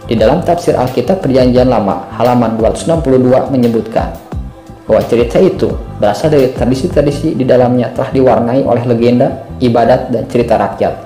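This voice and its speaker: A man's voice: mid-range voice, quick pace, mellow. A man reading a scripted, argumentative narration in formal, bookish wording. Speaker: video narrator. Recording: roomy echo, music behind.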